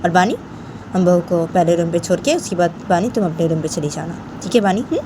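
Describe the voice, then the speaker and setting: A woman's voice: fairly low pitch, lively intonation, fairly quick, natural voice. A woman voicing a stern middle-aged aunt who scolds firmly, over traffic noise. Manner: scolding, firm.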